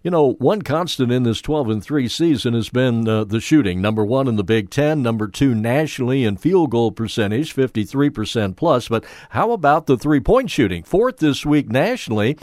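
A man's voice: mid-range voice, animated, moderate pace, hardly any pauses, a little mellow, no echo. Sixties male sports radio reporter with a conversational read and a dry, close sound. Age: 60s. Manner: conversational, with small hesitations.

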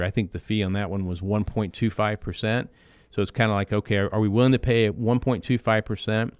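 A man hosting a financial advice radio show, explaining in a conversational way with quick asides and restarts. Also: mid-range voice, smooth, dry recording, clean studio sound.

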